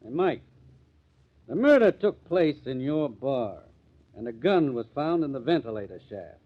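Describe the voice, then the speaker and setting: A man's voice: mid-range voice, very animated, measured pace. A man playing a blunt, impatient police captain in a 1950s radio mystery, with clipped delivery. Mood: stern. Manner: clipped, blunt, gruff in attitude. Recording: tinny, band-limited old radio recording.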